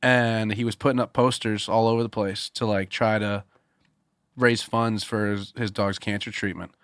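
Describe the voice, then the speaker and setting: A man, a singer-songwriter guest, telling animated stories in casual podcast chat with lots of fillers and laughter. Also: on the low side, moderate pace, dry recording, studio quality.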